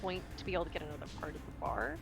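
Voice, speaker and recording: A woman's voice: sits low, animated, very fast, vocal fry. A woman playing a tabletop roleplaying game, chatting casually in character. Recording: music playing underneath.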